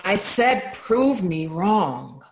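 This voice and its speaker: A woman's voice: mid-range voice, sing-song, slight background noise, heavily acted. A woman acting a tough doctor who pushes and challenges like a coach, in a table read. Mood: urgent, challenging. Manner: challenging, insistent, measured.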